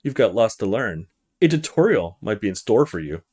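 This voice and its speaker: A man's voice: on the low side, big pitch swings, normal speed, darkish tone. A man voicing a cocky, aggressive young male street thug in a visual novel, with a taunting, menacing delivery. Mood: cocky threatening. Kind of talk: visual novel character read-aloud.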